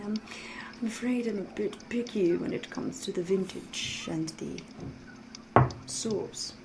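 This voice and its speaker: Woman acting as a seductive female vampire, ASMR roleplay, unhurried pace, soft half-whisper, elegant wording, sly and mysterious, medium pitch, clear background noise. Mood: sly, inviting. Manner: slow, deliberate, genteel phrasing with teasing pauses.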